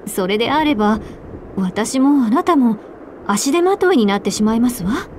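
A woman voicing a composed, polite young church woman in a Japanese video game, formal, refined and gently firm. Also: a little high, animated, moderate pace, a little hiss or hum, close to an everyday voice.

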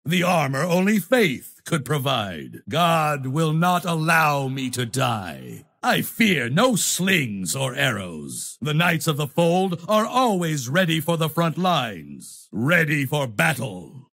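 A commanding holy knight from a fantasy game. His voice is gravelly and husky, sweeping widely in pitch. He declaims with solemn, grandiose conviction, proud and zealous. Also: big pitch swings, slow, hardly any pauses, fairly bright tone, full character voice. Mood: resolute. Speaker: video game character voice. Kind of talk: theatrical fantasy game voice lines.

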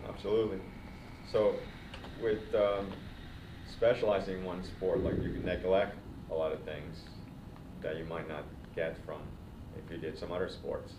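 A man from Finland whose first language is Swedish, speaking with an accent as a guest in a casual podcast interview, with measured, thoughtful explanations. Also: deep voice, steady tone, muffled recording. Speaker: guest cycling coach. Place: training studio, seated in recovery chairs. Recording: roomy, distant mic, noisy.

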